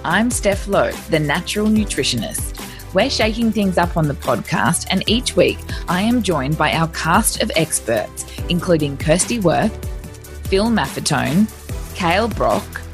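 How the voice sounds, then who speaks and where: Woman reading a lively show intro. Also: sits low. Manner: upbeat, lively read. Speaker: podcast host. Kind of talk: scripted podcast intro. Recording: music bed under voice.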